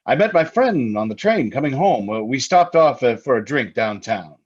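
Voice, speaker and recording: A man playing a soothing, patronizing, insistent husband with quiet menace in a radio suspense drama. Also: medium pitch, very animated, moderate pace, slightly rough. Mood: soothing, probing. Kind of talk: old-time radio suspense acting. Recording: slightly dull, lo-fi sound.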